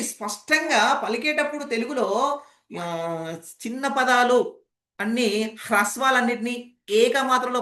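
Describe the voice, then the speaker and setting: A man's voice: high voice, fairly bright tone. A man leads an interactive training session as a speaking coach, explaining emphatically and putting rhetorical questions to participants. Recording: echoey, roomy sound.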